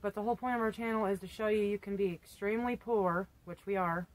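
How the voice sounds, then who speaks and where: A woman's voice: mid-range voice, fast, low-quality recording. A woman in her thirties chatting in a blunt, self-mocking way, sitting outdoors on a sunny porch and filmed by a camera a few feet away. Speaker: homesteading vlogger. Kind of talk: casual chat. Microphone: camera on a tripod a few feet away.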